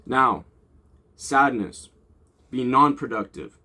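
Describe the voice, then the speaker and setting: A man's voice: mid-range voice, slow pace, bright, forceful tone. A young man giving casual, off-the-cuff motivational talk as a vlogger, rambling and informal with blunt swearing.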